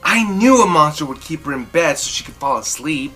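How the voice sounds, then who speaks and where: A woman storyteller reading a children's picture book aloud expressively, with dramatic, drawn-out emphasis. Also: deep voice, lively intonation, fairly bright tone, breathy edge, soft background music.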